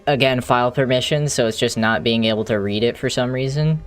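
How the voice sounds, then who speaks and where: A streamer thinking aloud in a halting, casual way while doing live coding commentary, with an unclear, mid-range voice. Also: mid-range voice. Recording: music playing behind the voice. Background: music.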